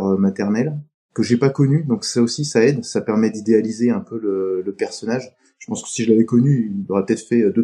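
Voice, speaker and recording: A middle-aged man with a deep, strikingly dark and mellow voice answers interview questions at an easy pace. He talks in a halting, reflective way, often pausing mid-sentence to find the right word. He grew up on France's Atlantic coast. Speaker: podcast guest. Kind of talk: casual interview talk.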